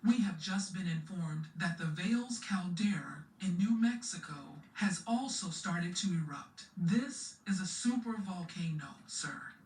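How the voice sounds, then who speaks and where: A formal government official acted out reports a disaster to a superior in an audio drama. The voice is mid-pitched, roomy and distant. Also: animated, unhurried, hardly any pauses, natural voice. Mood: formal, urgent. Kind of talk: formal scripted briefing. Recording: echoey, distant, faintly noisy playback.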